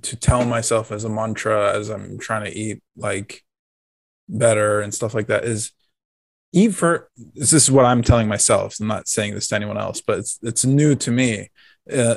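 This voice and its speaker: A man's voice: on the low side, no echo. A man chatting casually and jokingly as a podcast co-host. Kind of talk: casual chat.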